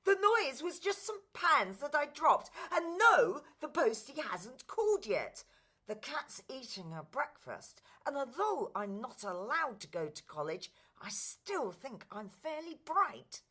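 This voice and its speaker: A woman's voice: high-pitched, sing-song, unhurried, pushed and bright, slightly rough, voice pushed up, exaggerated voice. A woman playing a cheerful, kindly young servant girl in a pantomime, polite and plucky. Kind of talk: stage pantomime acting.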